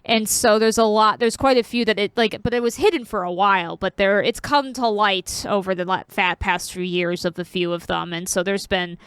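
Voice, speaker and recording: A woman's voice: medium pitch, fairly even intonation, normal speed, few pauses, fairly bright tone, smooth. A woman co-hosting a podcast, talking in a casual, meandering way and telling stories. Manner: chatty, meandering storyteller. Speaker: podcast co-host. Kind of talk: casual chat.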